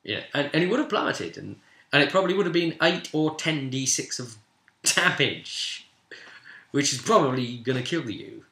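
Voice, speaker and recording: A British man casually recounting a tabletop game as its game master, in an animated storytelling way. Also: mid-range voice, moderate pace. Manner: animated, acts out players' remarks in quotes. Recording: roomy, echoing room sound.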